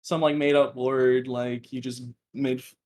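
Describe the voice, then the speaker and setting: A man guest on a podcast with a monotone voice, explaining things in a halting, plain way. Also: medium pitch. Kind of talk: casual interview answers.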